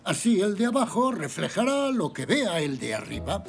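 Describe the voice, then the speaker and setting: A patient old grandfather in a children's cartoon. His voice is gravelly and lively in pitch, explaining things kindly, with faint music behind him. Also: normal speed, full character voice. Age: older. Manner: patient, explanatory, thoughtful hums.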